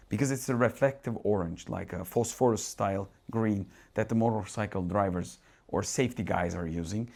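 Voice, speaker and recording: Turkish-accented man demoing gear live. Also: lowish voice. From Turkey. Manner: thinking aloud, restarts and asides while adjusting settings. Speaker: tech reviewer. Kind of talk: casual live product demo. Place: dark home cinema room.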